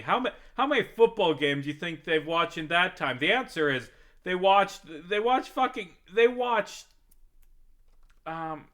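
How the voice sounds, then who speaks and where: An American man with a slightly higher-pitched voice rambles casually as he records a gaming vlog. He talks in a self-deprecating, comically exasperated way and swears offhandedly. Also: fairly bright tone. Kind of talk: casual rambling chat over gameplay.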